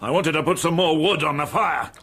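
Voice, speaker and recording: An actor playing a pompous, irascible older gentleman in a radio drama, scornful in manner. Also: a little high, fairly quick, husky. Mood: grumbling. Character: blustering, irascible older gentleman back from the tropics.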